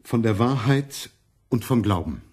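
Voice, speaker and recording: Stubborn monk, earnest, anxious, plain-spoken, strongly husky low voice, radio drama. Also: male voice, lively intonation, a little slow, darkish tone. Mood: earnest, insistent. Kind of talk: radio play acting.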